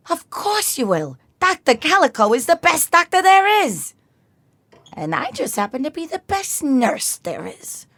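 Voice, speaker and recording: Woman acting as high-ish female critter nurse, unhurried, eager, cocky, audio drama. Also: huge pitch swings, fairly bright tone, no echo, heavily acted. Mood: proud reassurance. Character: eager, boastful female animal nurse, inventive sidekick.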